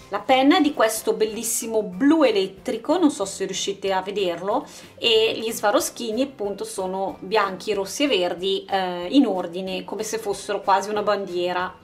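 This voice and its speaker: A woman's voice: mid-range voice, moderate pace. A woman hosting a craft channel, casually narrating an unboxing and presenting items one by one in an informal way. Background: background music.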